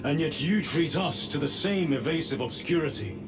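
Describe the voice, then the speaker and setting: An actor playing a distrustful, formal soldier in a game who speaks in a challenging way. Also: male voice, on the high side, lively intonation, moderate pace, music in the background, lightly acted. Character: blunt, distrustful superhuman soldier under command. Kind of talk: dramatic game cutscene acting.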